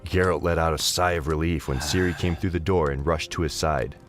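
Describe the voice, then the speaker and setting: A man's voice: very deep voice, fairly even intonation, fairly quick, faint music behind. A man narrating a scripted fantasy audio drama in a dramatic storytelling style.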